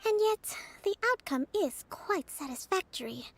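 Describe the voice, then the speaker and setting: A woman voicing a poised young female military strategist in an anime game, with formal, articulate, measured delivery and a calm, analytical temper. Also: very high-pitched, a loud crowd, full character voice. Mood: composed, reflective. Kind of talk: scripted anime game dialogue.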